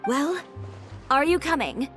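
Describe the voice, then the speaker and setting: A woman's voice: high voice, very animated, takes it slowly, fairly bright tone, heavily acted. A woman voicing a proud, blunt young fashion designer with a fiery temper, cool and confident, in a video game. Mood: cool, wry. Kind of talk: video game character acting. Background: game music.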